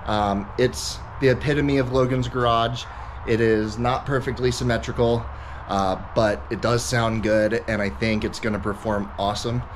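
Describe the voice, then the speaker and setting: A man's voice: medium pitch, fairly even intonation, normal speed. An American man in his thirties explaining things casually as a vlog host, plain-spoken and matter-of-fact. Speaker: car builder and YouTube host. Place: driveway and open home garage. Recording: clear outdoor and garage ambient noise.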